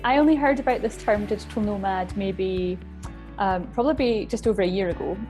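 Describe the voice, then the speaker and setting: A woman's voice: mid-range voice, fairly quick, darkish tone, soft background music. A woman from Scotland talking in a documentary interview as a business coach. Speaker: business mentor and personal coach.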